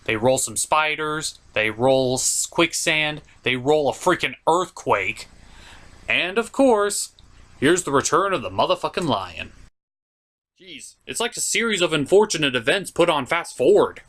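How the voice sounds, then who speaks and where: A man's voice: a little high, very animated, unhurried, a bit bright. A man giving a comedic, scripted movie recap in a theatrical, sarcastic way. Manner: theatrical, sarcastic delivery with mild swearing. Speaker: host of a puppet movie review show.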